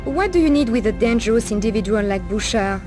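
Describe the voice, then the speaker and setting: A woman's voice: fairly high pitch, animated, brisk, over music, lightly acted. A woman playing a world-weary, streetwise Parisian streetwalker in a video game, knowing, familiar and sardonic. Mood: gossipy, knowing. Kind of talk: video game cutscene acting.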